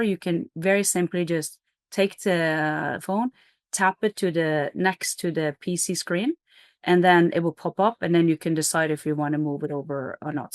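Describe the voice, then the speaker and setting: A woman's voice: fairly low pitch, dry recording, clean studio sound. A middle-aged woman from Norway, a company CEO, explaining her business in an interview with energy, fillers and restarts.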